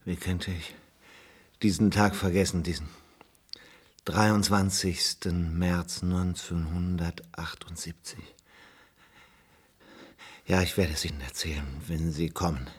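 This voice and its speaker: Weary old man, husky and low. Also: steady tone, full character voice. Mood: weary, nostalgic. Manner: halting, slow, pensive recollection. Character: weary old former police officer, reflective, haunted. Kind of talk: German radio play acting.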